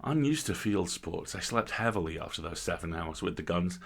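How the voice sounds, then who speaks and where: A man reading a literary story aloud as a dramatic narrator, with theatrical, emphatic phrasing and deliberate pauses. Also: deep voice, animated, vocal fry, breathy edge. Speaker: story reader, host.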